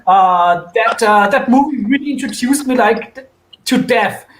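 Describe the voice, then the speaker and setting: A man chatting casually and giving opinions on a podcast panel, halting as he searches for his words. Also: extremely high pitch, fairly bright tone, reverberant, slight background noise.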